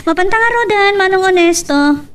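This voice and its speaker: A woman's voice: extremely high pitch, moderate pace, a bit bright, far from the mic. A woman with an Ilocano accent playing a spirited, lovestruck young daughter of a wealthy father in a radio drama. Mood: lively, coaxing.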